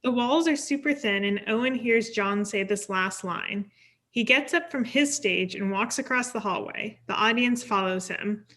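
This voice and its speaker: A woman's voice: on the high side, normal speed, fairly bright tone. A woman reading stage directions as scripted narration at a table read.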